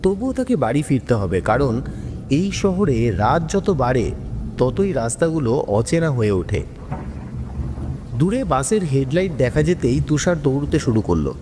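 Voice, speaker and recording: A man's voice: medium pitch, very animated, unhurried, noisy background. A man narrating a scripted horror story in a dramatic style. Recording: long reverb, music bed.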